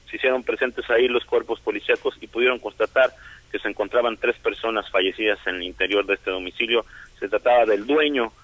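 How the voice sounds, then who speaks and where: Man, phoned-in news report, steady, tinny phone line, dry. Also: medium pitch, no echo. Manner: steady, detailed reporting with fillers. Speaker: field reporter. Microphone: telephone.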